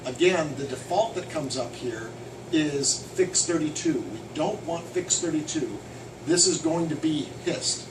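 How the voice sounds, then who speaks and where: A man leads a hands-on software lesson in a loud, casual voice that leans hard on his warnings. He sits a little high and away from the mic. The room echoes, and the air conditioning hums steadily behind him. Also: lively intonation, unhurried. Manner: loud, emphatic at key points, casual. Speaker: software trainer. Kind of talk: hands-on software training walkthrough. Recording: roomy, distant, noisy air conditioning.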